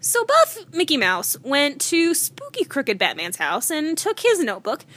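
Give this woman a high, bright voice with very lively swings in pitch. She is a podcast co-host doing slangy, mock-serious comic banter and reading. The sound is close and dry. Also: measured pace, no room sound. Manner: giggly, easily cracks up.

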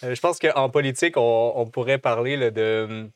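A man's voice: mid-range voice, normal speed, clear, resonant tone, no room sound. Man hosting, dry close sound. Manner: thoughtful, searching questions with fillers. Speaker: host. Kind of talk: conversational interview.

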